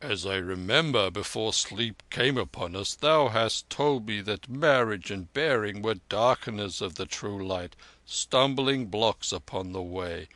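A man voicing an old, gruff and hearty veteran soldier with blunt, archaic speech in a storybook reading. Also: lowish voice, unhurried, pushed and bright, dry recording. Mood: teasing.

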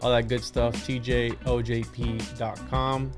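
A man's voice: mid-range voice, little pitch movement, a little slow, music in the background. A man hosting a podcast, talking casually to his listeners and guest. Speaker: podcast host.

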